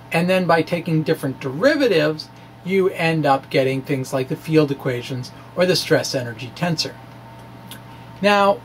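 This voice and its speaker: A man giving a dramatic explanatory reading as a presenter, halting and hesitant, with emphatic turns. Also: high-pitched, a little hiss or hum. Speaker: amateur physicist presenting his blog. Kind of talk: dramatic reading of a physics blog, explanatory talk.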